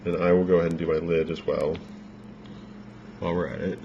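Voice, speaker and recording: A low-voiced man talks through a makeup tutorial in a loose, rambling way, his voice a bit worn as if he is losing it. The recording is dull and lo-fi, with a faint hiss behind him. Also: fairly quick, gravelly. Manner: relaxed, rambling instructions with fillers.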